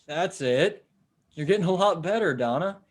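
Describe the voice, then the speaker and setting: A man playing a steady, caring father who leads survivors in a comic table read, earnest and encouraging, on a video call. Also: on the high side, normal speed, breathy edge. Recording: noisy online video call.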